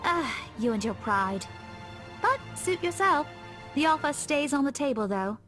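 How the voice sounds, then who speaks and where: A woman's voice: sits high, big pitch swings, unhurried, a bit bright, full character voice. A woman playing a motherly, teasing schoolteacher in a visual novel game, fussing over a friend with fond scolding. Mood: fond concern. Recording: music behind the voice.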